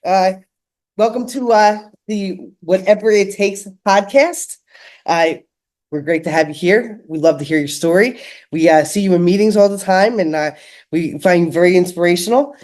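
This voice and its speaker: A woman's voice: mid-range voice, normal speed, darkish tone. A woman hosting a podcast, chatting in a bubbly, playful way and bantering with her co-host. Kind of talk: casual chat.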